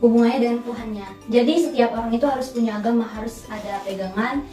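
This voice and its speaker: A woman's voice: a little high, fairly even intonation, fast, warm tone. An eighteen-year-old woman, a student, speaking earnestly and explaining with simple comparisons. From Indonesia. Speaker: student interviewee. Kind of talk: casual student interview. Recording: roomy distant mic with music.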